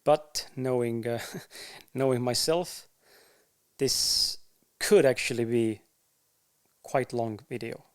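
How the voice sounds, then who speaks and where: Man, Estonian accent, podcaster, casual and candid, pauses often. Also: mid-range voice, smooth. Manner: unhurried, frequent pauses and fillers, candid. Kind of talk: casual talk to camera.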